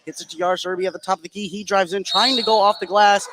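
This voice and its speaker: A man doing live play-by-play basketball commentary for radio, getting excitable on big plays. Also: high voice, quick pace. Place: indoor gymnasium during a game. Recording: noisy live gym broadcast.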